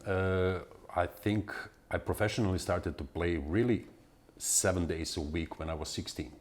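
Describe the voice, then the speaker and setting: A man in his forties from Serbia with a Serbian accent, answering interview questions in halting speech full of fillers and restarts. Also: sits low. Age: 40s. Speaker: guest musician.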